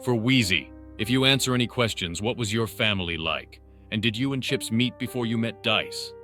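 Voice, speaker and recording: A man's voice: lowish voice, normal speed, sharp tone, faint music behind. A man reading fan questions aloud as the question reader.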